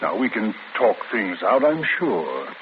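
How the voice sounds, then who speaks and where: An actor playing a calm, gentle male prison priest in a 1940s radio drama, speaking in a measured, pleading way. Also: lowish voice. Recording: tinny old radio broadcast with static.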